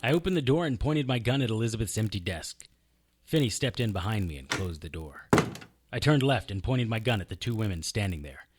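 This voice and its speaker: A man's voice: lowish voice, lively intonation, fairly quick, noisy background, lightly acted. An actor playing a wry, impulsive, tough detective who narrates his own story in an audio drama. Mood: tense narrating. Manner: wry, hard-boiled. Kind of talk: first-person audio drama narration and acting.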